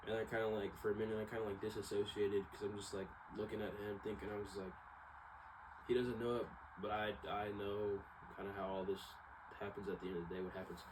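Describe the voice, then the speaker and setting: Low-voiced casual man with noisy background. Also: little pitch movement, quick pace. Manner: informal, slangy, hesitant, reflective. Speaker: vlogger. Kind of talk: casual rambling personal monologue to viewers. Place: at home. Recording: clearly audible background noise.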